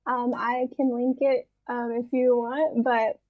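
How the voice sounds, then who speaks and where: Slow, high-voiced woman presenter. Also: level tone, no echo, narrow, tinny audio. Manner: hesitant, many ums. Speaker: workshop presenter. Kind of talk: casual presentation. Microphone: video call with dropouts.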